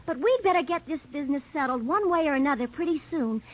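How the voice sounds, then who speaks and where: Whiny worried young woman in 1940s radio show, high voice, muffled old recording. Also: expressive, fast talker. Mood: complaining. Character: fretful, plaintive young woman, reluctant companion.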